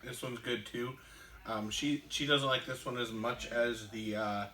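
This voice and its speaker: A man co-hosting a casual podcast, explaining board game rules in a halting way with lots of ums and restarts. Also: medium pitch, steady tone, bright, forceful tone. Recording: echoey room, distant mic.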